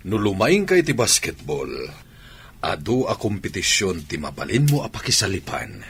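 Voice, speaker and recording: A man's voice: lowish voice, huge pitch swings, moderate pace, gravelly, fairly bright tone, slight background noise, full character voice. A man acting as an encouraging, authoritative sports coach in a radio drama, with an Ilocano Filipino accent. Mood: firm, encouraging.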